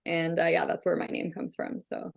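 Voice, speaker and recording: A woman's voice: fairly low pitch, completely flat delivery, normal speed, creaky. A woman in her thirties from Ontario, Canada, whose first language is Hungarian, answering interview questions in a confident, chatty way with frequent fillers. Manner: confident, talks in run-on clauses with frequent fillers. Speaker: criminal defence lawyer, guest.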